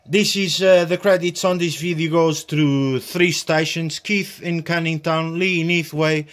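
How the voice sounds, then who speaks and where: A man giving an informal hands-on demonstration as a tutorial host, speaking in a halting, hesitant way. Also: fairly high pitch, fairly even intonation, a bit bright. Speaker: host of a hobby radio tutorial. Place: small indoor workbench area.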